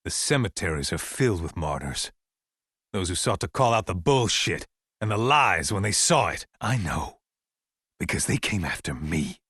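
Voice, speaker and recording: A middle-aged American survivalist broadcaster for a video game. His low voice is dry and close, with no room around it. He preaches in a folksy, deliberate way, as a distrustful militia leader addressing his people by radio. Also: big pitch swings, a bit bright, slightly rough, no room sound, full character voice. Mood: grave, righteous. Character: paranoid male survivalist militia leader broadcasting anti-government radio sermons. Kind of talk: dramatic radio monologue.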